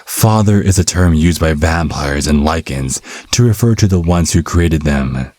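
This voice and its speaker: A man's voice: a bass voice, animated, measured pace, darkish tone, exaggerated voice. A man playing a smug, cunning vampire captive in an ASMR audio drama, taunting with sardonic mock politeness and teasing pet names. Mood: sardonic, explaining.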